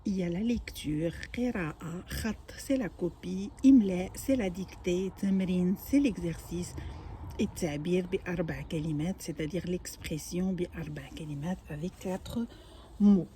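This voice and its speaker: Older woman, native Arabic speaker, unhurried patient teacher with a warm, dark tone. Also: on the low side, slight background noise. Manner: patient, explains each word slowly with small restarts. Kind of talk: home-made language lesson.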